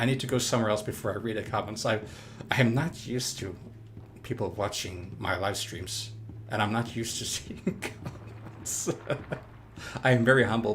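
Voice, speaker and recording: Halting man streaming. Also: mid-range voice, slight background noise. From Norway. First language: Norwegian. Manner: halting, repeats words, chatty with viewers. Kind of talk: live gameplay commentary.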